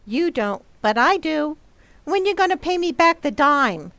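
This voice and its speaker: A woman's voice: sits high, big pitch swings, a little slow, a bit bright, dry recording, full character voice. A woman playing a cheeky, innocent, plain-spoken young boy in an old-time radio comedy. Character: cheeky young boy, the Nelsons' little son.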